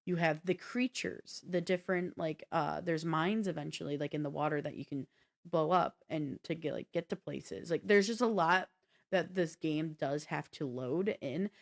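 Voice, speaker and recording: A woman's voice: low voice, moderate pace, gravelly. A woman co-hosting a gaming show, talking casually and explaining things at length with rambling asides. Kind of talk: casual explanatory chat about video games.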